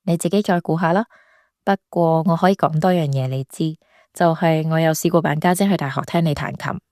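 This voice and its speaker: A woman with a Hong Kong Cantonese accent acting a gentle, shy younger sister confiding in a radio drama. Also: low-pitched, fairly quick, no room sound, studio quality, close to an everyday voice. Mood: light, confessing. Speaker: voice actor.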